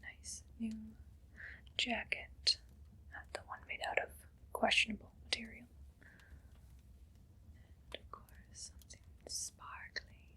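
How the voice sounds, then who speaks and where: A woman playing a haughty, condescendingly kind evil queen in an ASMR roleplay, speaking in a breathy whisper with slow, deliberate, imperious delivery. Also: on the high side, completely flat delivery, sharp tone, heavily acted. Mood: condescending, pleased.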